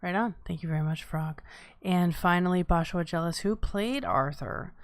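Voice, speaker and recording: Low-voiced woman hosting, dry close sound. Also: moderate pace, no echo. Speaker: host moderating a script table read. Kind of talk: informal live feedback session hosting.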